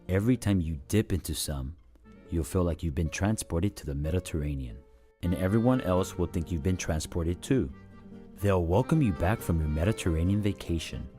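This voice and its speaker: A man's voice: low voice, dark tone. A man reading a scripted advertising voice-over as a professional voice actor.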